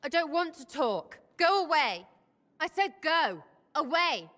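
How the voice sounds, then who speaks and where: A young female elf rebel in a fantasy video game, fairly high in pitch with an English accent. She is brash, emotional and irreverent, talking in blunt, cheeky slang. Her voice rings with long hall reverb. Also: big pitch swings, unhurried, pushed and bright, full character voice. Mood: upset, pleading. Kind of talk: video game cutscene acting.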